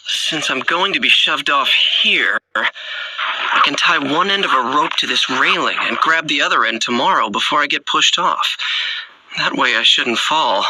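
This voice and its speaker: A man's voice: on the high side, lively intonation, noisy background. An actor playing a polite, hesitant young man, the hero of a video game. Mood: thoughtful, hopeful. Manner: hesitant, halting, mild-mannered. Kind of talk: video game dialogue acting. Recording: loud music over game dialogue.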